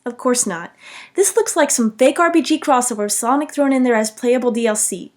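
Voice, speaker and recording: A woman's voice: fairly high pitch, a little fast. A young woman narrating a scripted, humorous video game commentary in a self-deprecating, mock-dramatic way.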